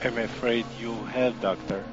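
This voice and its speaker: A man's voice: medium pitch, fairly even intonation, moderate pace, muffled recording, music in the background, natural voice. A man playing a desperate, loyal sworn companion in a fantasy audio drama, earnest and dramatic in delivery. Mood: bitter.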